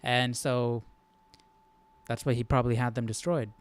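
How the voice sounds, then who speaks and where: A man with a smooth, clear voice in a dry, close-sounding space, hosting a casual live study. He talks informally, working through his thoughts aloud and often checking that the listener follows. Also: mid-range voice, steady tone, moderate pace, dry recording. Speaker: host of a live Bible study stream. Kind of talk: casual live commentary on scripture.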